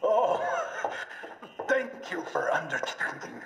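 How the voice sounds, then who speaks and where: Oily game villain scientist, fawning, higher-pitched, very animated, echoey. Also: male voice, drawn-out words, gravelly, full character voice. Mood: oily, ingratiating. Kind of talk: dramatic video game voice acting.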